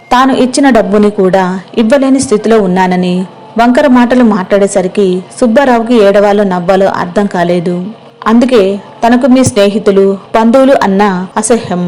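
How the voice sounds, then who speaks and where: Female narrator for moral tales, mid-pitched, scripted storytelling. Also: fairly quick, darkish tone, poor audio.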